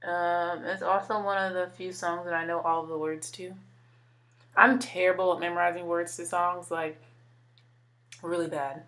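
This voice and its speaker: A woman in her twenties with a deeper voice, chatting casually while doing her makeup and using lots of filler words. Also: little pitch movement, moderate pace, fairly bright tone. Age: 20s. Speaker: beauty vlogger. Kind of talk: casual makeup tutorial chat.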